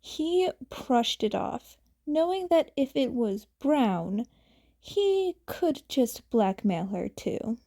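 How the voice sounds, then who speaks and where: A woman's voice: fairly high pitch, sing-song, slow, warm tone. A woman narrating a story as an amateur fan fiction reader.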